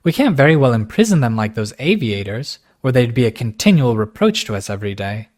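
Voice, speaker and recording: A man voicing a frank, impulsive young woman from a wealthy family, speaking high and fast. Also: big pitch swings, a little mellow. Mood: matter-of-fact. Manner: high, passionate, rushed.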